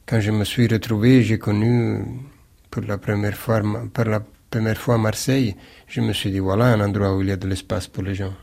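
A man from Naples whose first language is Neapolitan, speaking with an Italian accent as a writer in an interview, halting and searching for words with many repeated starts. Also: on the low side, little pitch movement, vocal fry, a little mellow. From Naples, Italy. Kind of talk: reflective interview answer.

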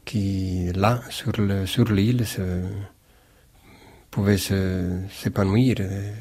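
A man from Naples whose first language is Neapolitan, speaking with an Italian accent as a writer in an interview, halting and searching for words with many repeated starts. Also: low voice, steady tone, a little mellow, breathy edge. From Naples, Italy. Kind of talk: reflective interview answer.

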